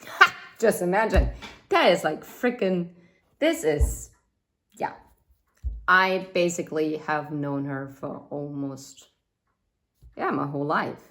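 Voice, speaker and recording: Woman, 30s, lowish voice, unhurried and chatty, tarot reader thinking out loud. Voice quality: says she has a loud voice. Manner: chatty, thinks aloud with fillers and asides. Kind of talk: casual tarot reading monologue.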